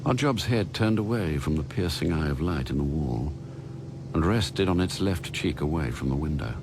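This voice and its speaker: A man's voice: extremely low pitch, lively intonation, moderate pace, noisy background. A man reading dramatic scripted narration as the narrator of a story. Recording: clear music under the narration.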